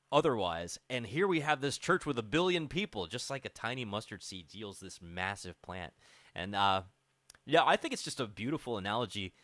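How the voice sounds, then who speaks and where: A man's voice: mid-range voice, fairly bright tone, no room sound. A man hosting a conversational radio interview, explaining things in an informal, reflective way. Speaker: radio show host.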